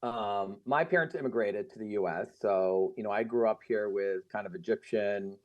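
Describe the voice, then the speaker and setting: A man's voice: on the low side, normal speed, darkish tone. A middle-aged man raised in the United States, talking casually and thoughtfully with frequent fillers. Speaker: guest. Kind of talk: casual conversation. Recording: muffled lo-fi sound.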